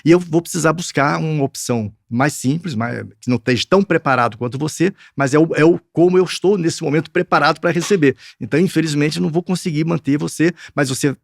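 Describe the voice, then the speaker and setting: Man, Brazilian accent, gravelly, dry studio sound, hesitant, earnest guest. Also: a little high, fast, few pauses, dry recording. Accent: Brazilian Portuguese. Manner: hesitant, restarts a lot, earnest. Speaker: guest, film producer. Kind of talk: casual interview answers.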